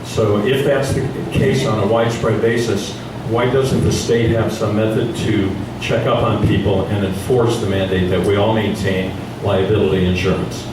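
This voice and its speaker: A man, a lawyer, asking a question from the audience at a community meeting, measured with a few stammers. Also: lowish voice, little pitch movement. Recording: echoey room from far off, noisy.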